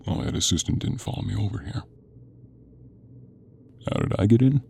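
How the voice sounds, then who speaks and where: A man playing a gruff, stern but protective monster hunter in an audio roleplay, with a gravelly, murmuring delivery. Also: animated, normal speed, a little mellow, slight background noise. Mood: apologetic, explaining. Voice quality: gravelly, very low, creaky. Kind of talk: audio roleplay acting addressed to the listener.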